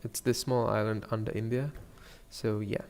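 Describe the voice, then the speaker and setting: A man with a Sri Lankan accent giving a casual software tutorial, thinking aloud in a hesitant murmur as he works. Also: lowish voice, flat delivery, normal speed, big-room sound. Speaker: 3D animation tutorial streamer.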